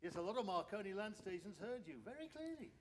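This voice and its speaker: Flustered 1920s radio engineer, high excitable voice all over the place, bit of background noise. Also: male voice, fairly quick. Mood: pleased, encouraging. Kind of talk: period radio drama acting.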